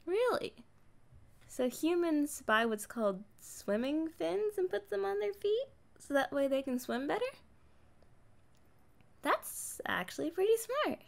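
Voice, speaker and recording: A high, very animated young female mermaid acted by a woman talks directly to the listener in an ASMR roleplay. She is kind, naive and playful, taking her time with drawn-out, chatty phrases. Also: gravelly, full character voice. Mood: curious, playful.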